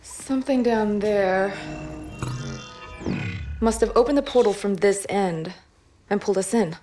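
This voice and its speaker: Woman acting as a brainy starship professor in a game cutscene, brisk and eager, mid pitch voice, explains stuff analytically. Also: over music, natural voice. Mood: puzzled, intent. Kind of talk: cinematic video game acting.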